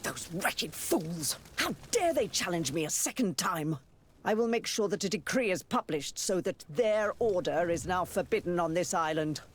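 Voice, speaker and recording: A man playing an authoritative high churchman in a video game, imperious and scolding in manner. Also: lively intonation, moderate pace, slight background noise. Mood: indignant. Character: indignant, authoritative high churchman governing an island.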